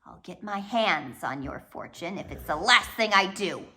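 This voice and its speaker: Storybook villain, acted by a woman, menacing man, hushed hiss, slow threatening delivery, bright edge. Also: on the low side, expressive. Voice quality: hissing, hushed.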